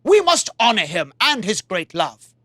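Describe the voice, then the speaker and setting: Mighty ancient gods making a solemn decree, as a woman storyteller voices them. They speak slowly, with wide swings in pitch, and the recording is dry. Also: big pitch swings, sharp tone, dry recording, heavily acted.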